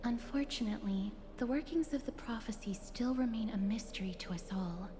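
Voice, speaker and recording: A woman voicing a composed, knowing character in a video game, calm and a little skeptical. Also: a little high, brisk, background noise, natural voice. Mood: cool. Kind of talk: game voice acting. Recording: game audio with long reverb and faint music.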